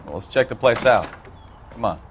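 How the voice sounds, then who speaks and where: An actor playing a brash, impatient police detective partner in a video game, gruff and casual with slangy talk. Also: male voice, medium pitch, animated, moderate pace, slight background noise, natural voice. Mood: brisk. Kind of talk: dramatic video game acting.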